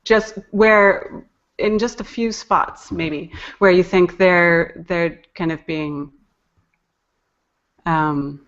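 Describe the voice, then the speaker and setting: A woman's voice: lowish voice, steady tone, very slow, highs cut off. A woman talking casually as an interview guest, hesitant and thinking aloud as she goes. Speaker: guest, poetry podcast editor and narrator.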